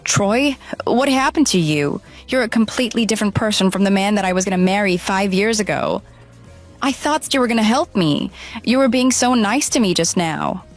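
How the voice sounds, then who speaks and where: An actress playing a deceitful runaway bride in an audio drama, pleading, flustered and defensive. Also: female voice, medium pitch, expressive, moderate pace, soft background music, lightly acted. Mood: bewildered. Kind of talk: dramatized phone dialogue.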